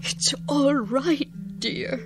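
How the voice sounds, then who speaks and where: An actress playing a devoted elderly wife in a radio drama, gentle and patient in her delivery. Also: high voice, drawn-out words, gravelly, heavily acted. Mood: tender. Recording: radio drama with music underneath.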